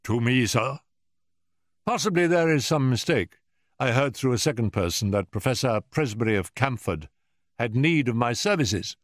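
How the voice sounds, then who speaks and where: A man's voice: mid-range voice, animated, normal speed, no echo, studio quality, pitched above the performer's own voice, lightly acted. A man voicing a malicious, irascible elderly professor in an audiobook story, with a pompous, cutting manner. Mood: formal, courteous. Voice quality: screaming at peaks.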